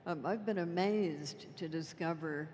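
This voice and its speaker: A man speaking on a panel, thoughtful and soft-spoken. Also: fairly high pitch, unhurried, slight background noise. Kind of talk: reflective onstage talk. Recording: distant voice in a reverberant hall.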